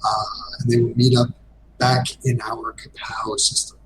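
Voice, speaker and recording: A man giving a casual interview as a game designer guest, speaking hesitantly with frequent pauses. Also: mid-range voice, level tone, a crowd in the background, low-quality recording.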